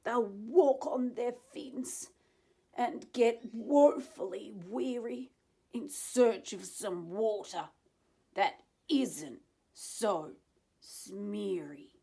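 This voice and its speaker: A woman's voice: medium pitch, drawn-out words, gravelly, dark tone, husky, heavily acted. A woman voicing an indignant male storybook creature who scolds in emphatic rhyme to defend nature. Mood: aggrieved. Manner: emphatic, rhyming accusations.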